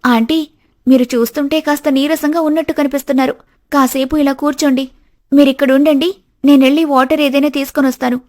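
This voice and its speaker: A woman storyteller puts on a chatty young woman with a high, lifted voice. She is eager and helpful, as in a cartoon moral story. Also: fairly even intonation, fairly quick, darkish tone, natural voice. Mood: concerned, helpful. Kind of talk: animated moral story dialogue.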